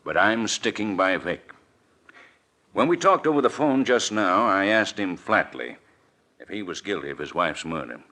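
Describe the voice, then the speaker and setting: An actor playing an earnest, emphatic city official in a 1940s radio mystery. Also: male voice, deep voice, expressive, normal speed, sharp tone. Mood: earnest, defensive. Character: earnest, insistent male city official under pressure.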